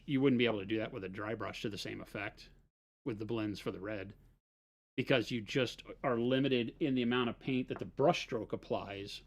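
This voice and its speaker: A man's voice: fairly low pitch, a bit bright. A man explaining model painting techniques in casual livestream talk, often checking in with "right?". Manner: explaining, fillers and restarts, says "right?".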